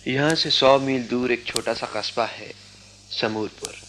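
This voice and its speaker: An actor playing a proud, self-effacing poor young student, speaking politely and formally in a Hindi radio drama. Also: male voice, medium pitch, fairly even intonation, measured pace, pushed and bright, slight background noise. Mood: humble, polite. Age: young adult.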